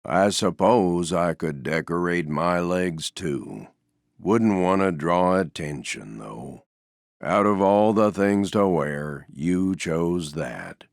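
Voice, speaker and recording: Gloomy old toy donkey for a video game, super low gravelly drawl, deadpan n resigned. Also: male voice, dry recording, clean studio sound, full character voice. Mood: glum deadpan. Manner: slow, glum, deadpan, understated, trailing off. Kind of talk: video game character voice lines.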